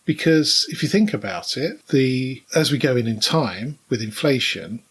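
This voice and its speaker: British man, middle-aged or older, slightly husky, mid-pitched, casual podcast storyteller, wry. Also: lively intonation, unhurried, a bit bright. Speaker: podcast co-host. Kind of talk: casual podcast chat with anecdotes.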